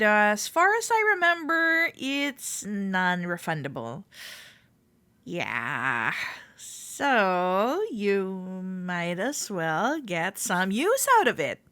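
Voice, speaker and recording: A woman playing a friendly, playful club member in a roleplay audio, gently reassuring a shy newcomer as she speaks directly to the listener. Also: medium pitch, expressive, slow pace, clean tone, dry recording. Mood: reassuring, coaxing. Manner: gentle, reassuring, playful, hesitant soft-spoken chattiness.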